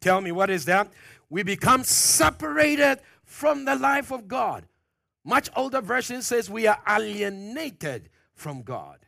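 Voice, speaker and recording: A man preaching a sermon, pressing his points with questions to the congregation, loud at the peaks and dropping low and quiet at phrase ends. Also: big pitch swings, unhurried, vocal fry, no room sound, studio quality. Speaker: pastor.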